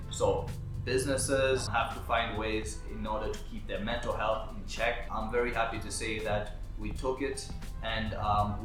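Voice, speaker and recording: Swahili-speaking man giving earnest advice. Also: fairly low pitch. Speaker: videographer and business owner. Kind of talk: talking-head advice vlog. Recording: roomy echo with faint background music.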